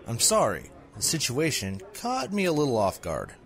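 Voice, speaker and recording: A man voicing a gruff, thrifty but loyal male bodyguard in an audiobook story. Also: mid-range voice, wildly swooping pitch, normal speed, a bit bright, faint music behind, voice pushed up, exaggerated voice. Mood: apologetic. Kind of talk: audiobook character dialogue.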